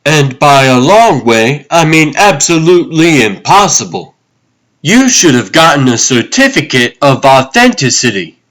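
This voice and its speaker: A man's voice: fairly high pitch, sing-song, unhurried, fairly bright tone. A man playing an exasperated young government agent in a comic dub, sarcastic and indignant. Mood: sarcastic, geeky.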